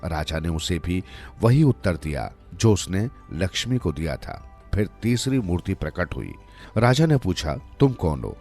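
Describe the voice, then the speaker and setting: A man reading scripted moral tales as a storyteller, in a measured, expressive way. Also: low voice. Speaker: storyteller narrator. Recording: music under the voice.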